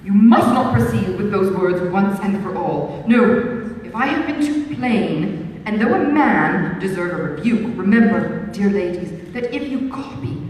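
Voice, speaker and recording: A woman reading aloud, voicing an eager, flattering young gentleman who keeps pressing his suit, heard in an echoey hall from a distance. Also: mid-range voice, measured pace, slight background noise, close to an everyday voice. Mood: insistent. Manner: eager, effusive, persistent gallantry. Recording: echoey hall, mic far off.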